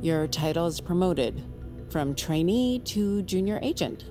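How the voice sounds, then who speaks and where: A stern female senior agent in an audio drama. Her voice sits low for a woman and she speaks in clipped, formal commands. Clear music plays behind her cold, controlling delivery. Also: expressive, a little slow. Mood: measured authority. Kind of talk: scripted audio drama acting.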